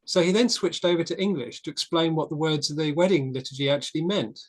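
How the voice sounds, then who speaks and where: A man's voice: on the high side, fast talker. A man speaks in a slightly raised voice, frank and opinionated. He tells stories in casual group talk and often restarts his sentences. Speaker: discussion group participant.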